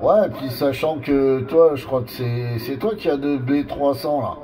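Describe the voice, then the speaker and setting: Mellow-voiced man heard distantly over radio. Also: mid-range voice, fairly even intonation, a little slow. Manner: explanatory, colloquial. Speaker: amateur radio operator. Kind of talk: casual radio chat giving advice. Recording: distant two-way radio sound.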